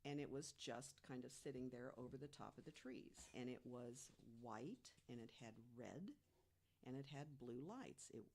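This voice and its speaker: A woman's voice: extremely low pitch, normal speed, darkish tone. A woman of sixty-six telling stories casually as a panelist on a conversational podcast. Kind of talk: casual personal storytelling.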